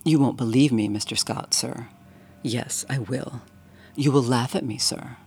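Man doing a young Cockney bellhop, deferential, nervous, dropped g's, gravelly edge. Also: mid-range voice, unhurried, darkish tone, slight background noise, close to an everyday voice. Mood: uneasy. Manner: deferential, says sir constantly, dropped g's.

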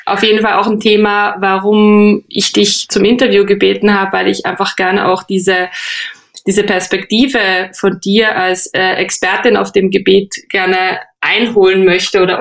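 A woman's voice: medium pitch, creaky, no room sound. A woman hosting a podcast, introducing her guest and asking interview questions. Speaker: podcast host.

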